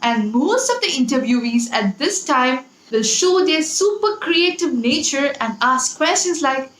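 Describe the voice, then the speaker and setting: A woman's voice: sits high, expressive, a little slow, fairly bright tone. A young woman giving tips in a casual, chatty and playful vlog style, with emphatic delivery. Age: young adult. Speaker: YouTube host giving interview tips. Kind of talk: casual scripted advice vlog. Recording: echoey room with background noise.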